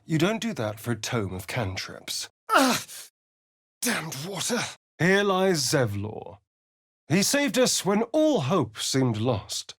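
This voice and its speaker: A man's voice: fairly high pitch, huge pitch swings, slow, fairly bright tone, slightly rough, no echo, clean studio sound, exaggerated voice. An actor voicing a sly, vain, sardonic male vampire spawn in a video game, with an arch, theatrical drawl. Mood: sharp alarm.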